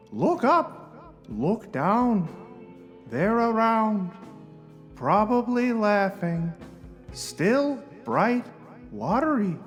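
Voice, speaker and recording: A woman playing a pretentious, frustrated poet from a cartoon, reading a blog in a brooding, melodramatic way. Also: mid-range voice. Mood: solemn, poetic.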